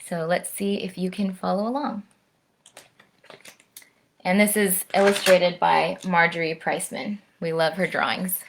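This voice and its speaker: A woman, around her thirties, speaking to young children in an expressive storytime style, slowly and directly, recorded at home on a device microphone. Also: on the low side, background noise. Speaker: storytime presenter, orchestra musician. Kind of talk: children's read-aloud and friendly chat to camera. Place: at home, indoors.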